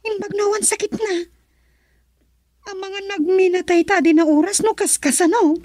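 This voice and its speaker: A woman's voice: very high voice, moderate pace, mellow, no echo, clean studio sound. A woman acting a worried, fussy village wife in a radio comedy drama, exclaiming and complaining with an Ilocano accent. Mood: fretful, reluctant.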